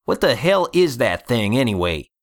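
A man's voice: on the low side, sing-song, slow, bright, forceful tone, no echo, clean studio sound, exaggerated voice. A man plays a brash, foul-mouthed gunslinger in an audiobook story. He talks bluntly, with folksy phrasing and a demanding, challenging edge.

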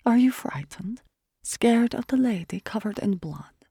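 Scornful young forest fortune-teller woman, acted by the reader, husky and gravelly, pitched higher and whispered. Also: expressive, a little slow, warm tone, no room sound, clean studio sound, exaggerated voice. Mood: taunting. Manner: scornful, taunting, drops to a raspy whisper.

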